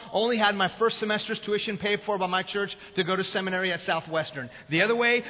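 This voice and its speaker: A man from Iran, whose first language is Farsi, preaching a sermon as a pastor, swinging from loud passion to hushed tones. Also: high-pitched. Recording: phone-like sound with long hall reverb.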